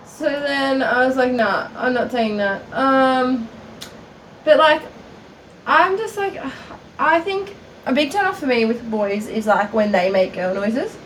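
Bright, blunt woman podcaster. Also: sits high, takes it slowly, a little hiss or hum, low-quality recording. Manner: blunt, laughing, swears freely. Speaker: podcast co-host. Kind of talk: casual chat.

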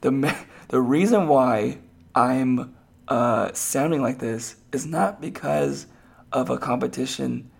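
A man with a hoarse, raspy voice, hosting a podcast and chatting casually on his own, rambling with lots of fillers and restarts. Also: medium pitch, takes it slowly. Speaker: podcast host. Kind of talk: casual solo podcast talk.

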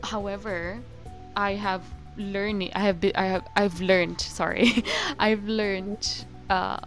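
A woman's voice: medium pitch, fairly even intonation, slow, faint music behind. A young woman co-hosting a podcast in casual chat, giggly and rambling, breaking into playful rants. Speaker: podcast co-host.

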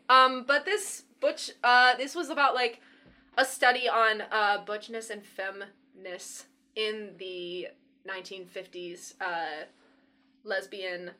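Young woman cohost, bright voice, rambly, tons of likes and ums. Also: medium pitch, slow pace. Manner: rambling, many fillers and laughs. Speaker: podcast co-host. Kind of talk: casual chat.

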